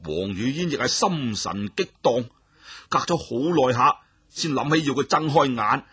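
A man telling a traditional story with expressive, animated narration. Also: medium pitch, unhurried, slightly rough, no room sound.

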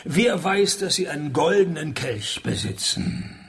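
An actor with a husky, gravelly voice and a Swiss accent plays a cheeky, sardonic, money-minded private detective in a comic radio play. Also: male voice, on the high side, expressive, unhurried, fairly bright tone, no echo, full character voice. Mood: cheeky, flirting. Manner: dry, sardonic. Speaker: lead character and narrator.

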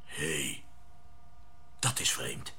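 An actor playing a composed, decisive spaceship commander who gives brisk orders in a science fiction radio drama. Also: male voice, a bass voice, creaky, full character voice. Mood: puzzled, controlled.

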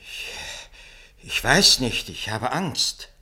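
An actor in a German radio play playing a timid print shop owner, hesitant, nervous and deferential. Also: male voice, medium pitch, very animated, slow, bright, forceful tone, heavily acted.

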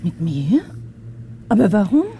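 Mellow, guarded maid, animated inflection. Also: female voice, medium pitch, measured pace, a little hiss or hum. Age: 30s. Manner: guarded, discreet, confiding. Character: reserved, discreet household maid, woman about thirty. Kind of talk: 1960s German radio play acting.

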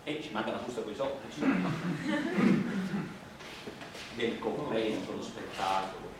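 A man's voice: fairly low pitch, a little slow, far from the mic, heavy background noise, close to an everyday voice. A man playing a suspicious, hot-tempered street actor who insists and repeats himself in a live stage farce, recorded in a very echoey space. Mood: uneasy, suspicious. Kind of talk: live theatre farce dialogue.

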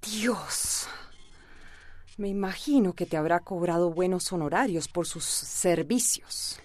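A woman's voice: lowish voice, takes it slowly, a little mellow, no room sound. Firm, probing woman lawyer, dry sound. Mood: shocked, disapproving. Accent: Latin American. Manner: probing, authoritative. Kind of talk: radio soap opera acting.

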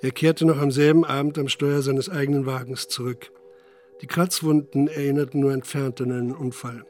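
Sober male narrator, medium pitch. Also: fairly even intonation, normal speed, darkish tone, soft background music. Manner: sober, detached storytelling. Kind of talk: scripted literary narration in radio drama.

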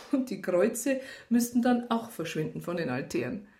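A woman's voice: mid-range voice, big pitch swings, a little slow, warm tone. An actress playing a worldly, disillusioned woman in a radio drama, frank, dry and teasing in a natural style. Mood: dryly sceptical. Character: worldly, disillusioned, flirtatious Bavarian woman, bluntly independent.

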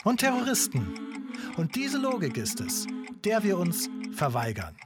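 Animated, earnest male protester. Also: high-pitched, unhurried, over loud music, full character voice. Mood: urgent, earnest. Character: earnest former conscientious objector making a live on-air appeal. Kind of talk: radio drama monologue.

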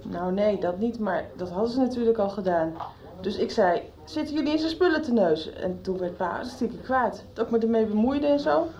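A woman's voice: mid-range voice, lively intonation, a little fast, mellow, lightly acted. A woman playing an inquisitive, loyal schoolgirl in a Dutch radio soap, asking insistently. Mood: confiding, curious. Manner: questioning, insistent. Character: inquisitive, loyal young schoolgirl, somebody's little sister. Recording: 1990s radio soap production.

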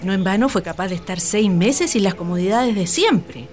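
A woman's voice: mid-range voice, lively intonation, moderate pace, a little mellow, natural voice. A woman playing a genteel, easygoing middle-aged mother and hacienda mistress in a radio drama. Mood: concerned.

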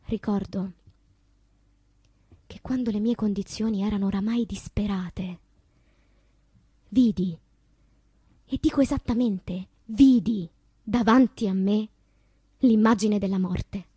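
A slow, husky, anguished woman poet. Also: mid-range voice, mellow, no room sound. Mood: grave, dramatic. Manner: slow, declamatory, with long pauses. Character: anguished woman poet recalling loves, madness and death. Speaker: stage actress. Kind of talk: theatrical poetic monologue.

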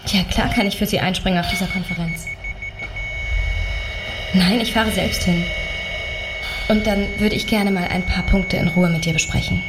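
A woman playing a calm, coolly composed woman. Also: fairly low pitch, moderate pace, long pauses, heavy background noise, lightly acted. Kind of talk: radio drama acting.

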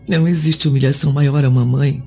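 A woman's voice: rumbling low, fairly even intonation, fast talker, vocal fry, warm tone. An actress playing a sorrowful, submissive Roman wife and mother in a radio drama, speaking in a plaintive, formal way. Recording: dull band-limited audio with music behind.

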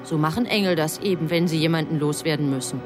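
A woman's voice: low voice, quick pace, music in the background, lightly acted. An actress playing a self-assured, scheming angel in a radio drama, telling her story in a composed, matter-of-fact way. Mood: cool, self-satisfied. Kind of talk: German radio drama acting.

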